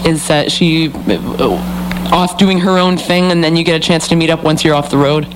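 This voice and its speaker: A radio host interviewing a musician, effusive and quick to exclaim. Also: mid-range voice. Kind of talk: casual interview. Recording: noisy with hum.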